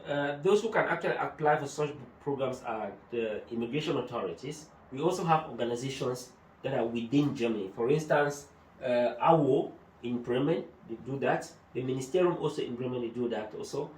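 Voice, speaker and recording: A man's voice: mid-range voice, fairly quick, a little mellow, a little hiss or hum. A man working as a migration adviser, explaining procedures step by step and using German terms. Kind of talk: explanatory talk-show discussion. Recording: echoey room, mic at a distance.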